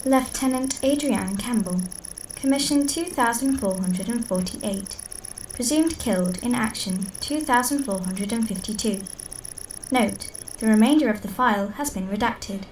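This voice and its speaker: A woman's voice: a little high, normal speed, slight background noise, close to an everyday voice, poor audio. A woman voicing a polite, impassive computer interface in a science-fiction audio drama, with formal, emotionless delivery.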